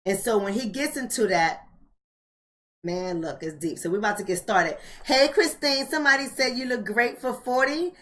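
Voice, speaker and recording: A woman's voice: a little high, expressive, moderate pace, fairly bright tone. An American woman of about forty hosting a casual livestream, speaking colloquially and straight to her viewers, repeating phrases for emphasis. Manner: colloquial, addresses viewers directly. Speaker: host of a live online book club.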